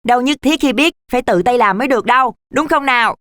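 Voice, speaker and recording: Young cartoon child, acted by a woman: polite, sensible, high-voiced. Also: expressive, quick pace, fairly bright tone, no room sound. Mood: curious, upbeat.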